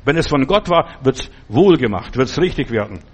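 Husky man preaching a testimony sermon. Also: medium pitch, animated, normal speed. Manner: testimony-style preaching with many restarts and quoted dialogue. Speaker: pastor.

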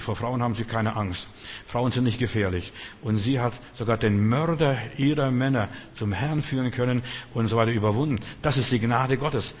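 Guy preaching off the cuff, not his first language, bit husky, repeats stuff for emphasis, big echoey hall. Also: mid-range voice. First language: not German. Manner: emphatic, repeats phrases, addresses listeners directly. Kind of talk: extemporaneous sermon.

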